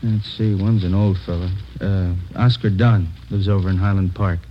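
A man's voice: low voice, steady tone, moderate pace, natural voice. A man acting a terse, plain-spoken American police detective in a 1950s radio drama. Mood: matter-of-fact. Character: laconic, workaday male police detective. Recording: muffled, faintly noisy old radio recording.

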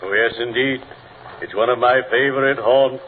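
A male actor playing a genteel, morbid undertaker in old-time radio comedy, speaking formally and slowly with a solemn deadpan delivery. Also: mid-range voice, close to an everyday voice. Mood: morbidly delighted. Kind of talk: 1940s-50s radio comedy acting. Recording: noisy, tinny old radio broadcast.

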